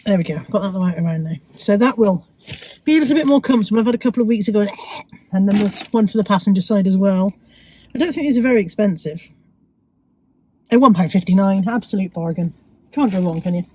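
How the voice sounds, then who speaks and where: A woman with a cold, sounding croaky and sniffly, chatting casually to viewers about her shopping with self-mocking asides. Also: medium pitch, quick pace, a little mellow. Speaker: vlogger. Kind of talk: chatty shopping haul vlog.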